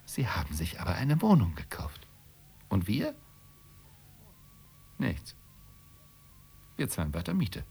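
A man's voice: deep voice, wildly swooping pitch, slow pace, creaky, pauses often, a little mellow, husky, heavily acted. An actor playing an irritable, sarcastic husband in a radio play, blunt and needling in his delivery. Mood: indignant. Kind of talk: German radio play acting.

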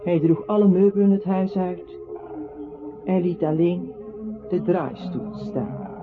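High-pitched, solemn haunting male voice. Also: slow pace. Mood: ominous. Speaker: radio drama narrator-character. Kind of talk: dramatic radio play narration. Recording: tinny band-limited old radio recording. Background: music.

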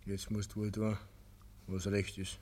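A man's voice: fairly low pitch, monotone, unhurried, darkish tone, slightly rough. An actor playing a sober village committeeman in a German radio play, placating yet firm, in Bavarian dialect. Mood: resigned.

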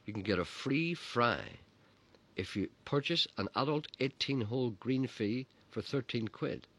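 A middle-aged man hosting a radio show, chatting playfully with deadpan humor and reading out listener messages. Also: mid-range voice, unhurried, breathy edge. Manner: playful deadpan teasing, spins tall tales. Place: radio studio.

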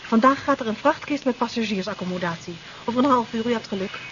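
High-voiced helpful female airline clerk acted. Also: quick pace, fairly bright tone, close to an everyday voice. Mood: helpful, businesslike. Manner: polite, businesslike. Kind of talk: 1970s radio drama acting. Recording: dull radio tape, faint background noise.

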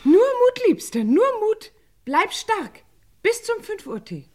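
An actress playing a gushing, affected society sweetheart in a melodramatic German radio crime play. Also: female voice, very high-pitched, big pitch swings, slow, a little mellow, full character voice. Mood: melodramatic devotion.

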